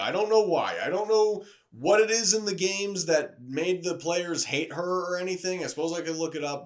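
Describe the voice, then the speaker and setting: A man's voice: on the high side, a bit bright. A man reviewing movies in a casual, conversational video style, enthusiastic and openly opinionated.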